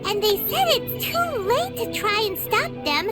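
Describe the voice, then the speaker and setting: A woman voicing a small, excitable floating fairy companion in a video game who speaks bluntly and refers to herself in the third person. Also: very high voice, measured pace, over loud music, exaggerated voice. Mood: explaining.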